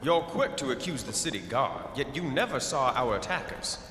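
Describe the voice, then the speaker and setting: An actor playing an articulate, persuasive fantasy adventurer in an audio drama, formal and sardonic. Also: male voice, expressive, normal speed, a bit bright, natural voice. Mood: dry, sardonic. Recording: reverberant space, faint rumbling noise.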